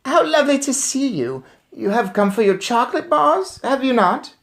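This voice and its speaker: A sugary storybook lady, voiced by a man, coaxes in a raised, high voice. She coos with false sweetness, as if luring a small child. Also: expressive, normal speed, a bit bright, full character voice. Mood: falsely sweet. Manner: cooing, coaxing.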